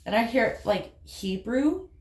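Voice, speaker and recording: A woman's voice: fairly high pitch, animated, takes it slowly, a little mellow. Rambling Appalachian woman, echoey room. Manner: rambling storyteller with laughs and fillers. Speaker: vlogger. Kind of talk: casual chat while doing makeup.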